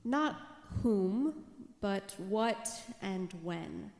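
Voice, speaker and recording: Woman reading as Peace, slow self-assured motherly figure. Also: medium pitch, expressive, a little mellow. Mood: assured, earnest. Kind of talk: dramatized church reading. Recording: echoey hall, long reverb.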